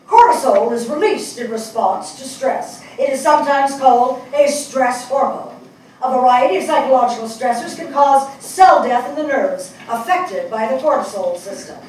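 An older woman acting a reflective, grieving writer with wry touches in a deliberate, theatrical stage reading. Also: high voice. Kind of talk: dramatic stage reading of a play. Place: small theatre stage before seated audience. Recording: echoey stage hall, distant mic, faint noise.

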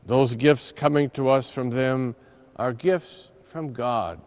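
An American priest in his seventies reads a scripted religious reflection. His mid-pitched voice is unhurried and solemn, and he leans deliberately on key words. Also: darkish tone. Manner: measured, solemn, deliberate emphasis. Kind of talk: scripted reflection read aloud.

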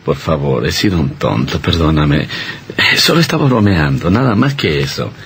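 An actor playing an earnest young doctor, a devoted and reassuring husband, in a melodramatic radio drama. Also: male voice, very deep voice, expressive, normal speed, a little hiss or hum. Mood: tender. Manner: earnest, affectionate.

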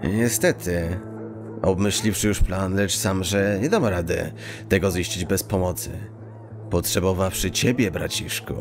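Casting: male actor as chummy, scheming street thief, low and very unhurried, archaic turns of phrase, audio drama. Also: music in the background. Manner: mock-archaic, quirky halting phrasing, deliberate. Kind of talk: acted fantasy audio drama dialogue.

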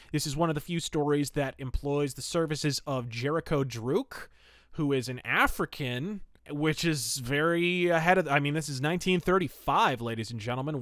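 Chatty man with a higher-pitched voice. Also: a bit bright, dry recording, studio quality. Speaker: podcast host and reviewer. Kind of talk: casual review and plot recap of pulp fiction.

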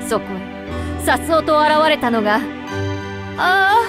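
A woman playing a refined, devoted crane-spirit seamstress in a game, polite and theatrical, given to excitable fangirl gushing. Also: high-pitched, measured pace. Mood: adoring. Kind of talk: Japanese game voice acting. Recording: background music under clean studio voice.

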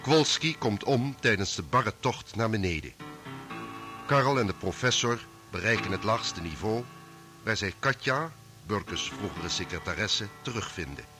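A man's voice: fairly low pitch, animated, unhurried. A man narrating a scripted, dramatic recap for a radio drama serial. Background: music.

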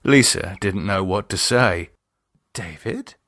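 A man's voice: fairly low pitch, a little slow, fairly bright tone, no room sound, clean studio sound. A man with a British accent reading a novel aloud as scripted dramatic narration. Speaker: audiobook narrator and author.

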